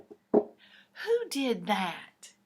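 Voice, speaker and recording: A woman's voice: on the high side, wildly swooping pitch, extremely slow, darkish tone, husky, faint music behind, heavily acted. A woman voicing a laid-back, groovy young male cat in a children's storybook, with hip, easygoing slang. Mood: weary.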